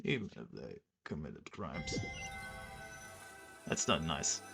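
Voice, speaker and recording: A game streamer reacts casually while playing. The voice is mid-pitched and expressive, with a leisurely pace. Loud game music runs behind it. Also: takes it slowly, vocal fry. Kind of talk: casual live gameplay reaction.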